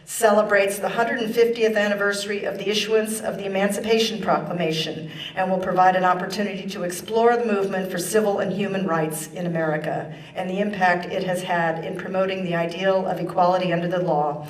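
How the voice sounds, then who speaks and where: A woman speaking formally and in a measured way as an official at a ceremony. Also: medium pitch, fairly even intonation. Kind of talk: formal ceremony speech and proclamation reading. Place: large echoey indoor ceremony room. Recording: echoey room, distant microphone.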